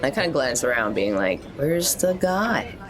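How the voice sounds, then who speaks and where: A woman's voice: extremely low pitch, sing-song, moderate pace, a crowd in the background. A woman playing a tabletop game, talking casually in a rambling, jokey, slightly hesitant way. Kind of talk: casual in-character and descriptive game chat.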